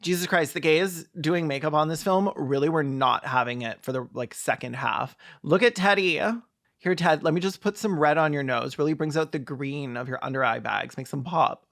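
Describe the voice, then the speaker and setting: A mid-pitched commentator picks a film apart with open sarcasm. The delivery is campy and theatrical, built on mockery and comic exaggeration. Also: moderate pace, a bit bright. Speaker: video commentator.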